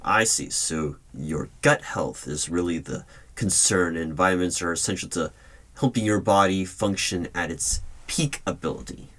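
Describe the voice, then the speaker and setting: A man speaking in a formal, deliberate official tone, performing a spoken ASMR roleplay as a stern hiring manager, with keyboard typing behind him. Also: low-pitched, fairly bright tone, a little hiss or hum. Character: stern male hiring manager of secret organization. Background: computer keyboard typing.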